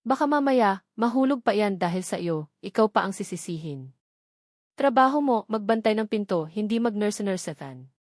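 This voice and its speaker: A woman's voice: mid-range voice, animated, normal speed, no echo, clean studio sound, lightly acted. A woman doing a sneering, bullying man. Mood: scornful, loud. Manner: mocking, shouting, scornful. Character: sneering, bullying male hospital worker.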